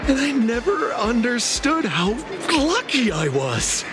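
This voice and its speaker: A man's voice: extremely high pitch, wildly swooping pitch, slow, exaggerated voice. A man voicing a cheerful father who sounds unsettlingly happy in a video game. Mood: blissful. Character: cheerful father, eerily blissful. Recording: game audio with music.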